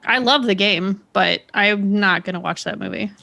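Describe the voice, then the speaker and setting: A woman's voice: mid-range voice, moderate pace, creaky, sharp tone. A woman on a casual panel, making playful apologetic asides.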